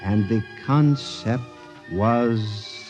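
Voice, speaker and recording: An actor playing an obsessed, fearful office clerk, narrating in an earnest, theatrical 1950s radio drama manner. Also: male voice, fairly low pitch, drawn-out words, a little mellow. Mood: awed, ominous. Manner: intense, earnest, formal, theatrical. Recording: 1950s radio broadcast with music behind.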